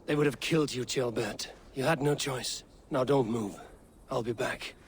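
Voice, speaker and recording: A man's voice: mid-range voice, moderate pace, a little hiss or hum, natural voice. A man playing a stern, terse warrior. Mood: guarded, urgent. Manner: terse, commanding. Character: stern, level-headed Viking raider, a seasoned warrior man. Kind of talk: dramatic video game acting.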